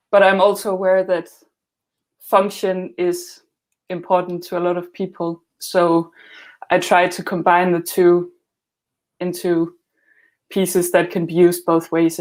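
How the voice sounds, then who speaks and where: Woman, Danish accent, unhurried and understated interview guest, rather low voice with little melodic movement. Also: a little mellow. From northern Denmark. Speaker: guest, glassblower and student. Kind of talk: hesitant conversational interview answers.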